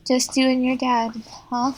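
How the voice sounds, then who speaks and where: High-voiced woman role-playing at an easy pace. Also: flat delivery, measured pace. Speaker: player in a tabletop game. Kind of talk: casual improvised role-play.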